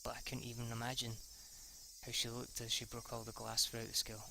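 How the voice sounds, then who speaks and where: A man reading a visual novel aloud as an amateur, haltingly, stumbling and correcting himself with the odd swear word as an aside. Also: mid-range voice, completely flat delivery, creaky, background noise. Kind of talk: amateur read-through of a story.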